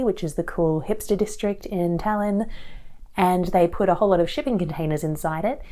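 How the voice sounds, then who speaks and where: A woman in her thirties speaks in a slightly low, mellow voice, a little raspy as she recovers from a cold. Her talk is casual and rambling, full of "so" and "um" as she chats to camera. It is recorded on an action camera's built-in mic inside a small shipping-container room. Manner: chatty and rambling, with frequent "so" and "um". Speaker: aspiring fiction author and channel host. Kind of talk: casual talking-to-camera vlog.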